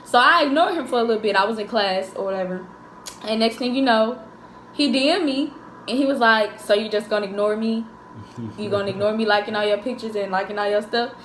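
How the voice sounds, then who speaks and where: A woman, chatting and telling stories in an animated way during a couple's Q&A. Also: fairly high pitch, a little fast, slight background noise. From Jackson, Mississippi. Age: 20s. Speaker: co-host of a couple's channel. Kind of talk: casual Q&A chat, storytelling. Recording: echoey room.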